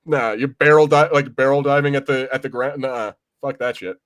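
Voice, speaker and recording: A man co-hosting a podcast, talking through movies casually in a halting, conversational way with some swearing. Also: medium pitch, fairly even intonation, a bit bright, no room sound, clean studio sound. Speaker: podcast co-host. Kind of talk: casual movie review chat.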